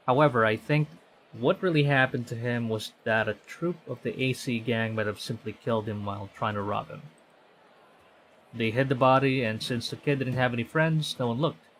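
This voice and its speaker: A man's voice: medium pitch, normal speed, a bit bright, slight background noise, low-quality recording. A man reading a visual novel aloud on stream, conversational, often stumbling and correcting himself. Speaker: VTuber streamer.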